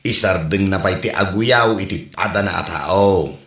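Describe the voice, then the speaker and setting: An actor playing a nosy, wry village neighbor with an Ilocano Filipino accent in a comedy drama. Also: male voice, low-pitched, measured pace, a bit bright, reverberant. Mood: wry, mocking.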